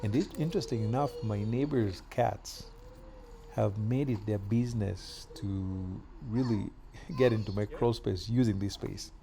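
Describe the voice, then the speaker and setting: A middle-aged man from Kenya chatting casually while doing yard work outdoors, with relaxed pauses and fillers. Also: fairly low pitch, vocal fry, a little hiss or hum. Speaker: vlogger.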